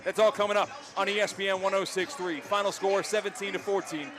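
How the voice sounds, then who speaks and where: A man doing excited, emphatic play-by-play football commentary with dramatic phrasing. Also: sits high, fast. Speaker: play-by-play sports announcer.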